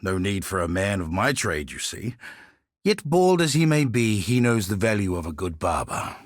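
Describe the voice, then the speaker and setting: An actor playing a sly, world-weary male barber and informant in a video game, husky and wry in his phrasing. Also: lowish voice, big pitch swings, moderate pace, dry recording, studio quality. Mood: intrigued, wry. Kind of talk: scripted video game character dialogue.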